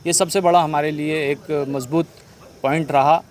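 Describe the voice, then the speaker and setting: A man's voice: fairly high pitch, fairly even intonation, measured pace. A man giving a spontaneous interview statement, explaining and arguing his side as a petitioner, mixing in English terms.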